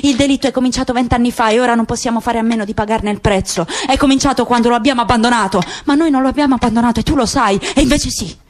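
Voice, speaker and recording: A woman's voice: a little high, rapid-fire, hardly any pauses, close to an everyday voice. A woman voicing a bitter, grieving middle-aged father who speaks insistently and rhetorically, for a radio drama.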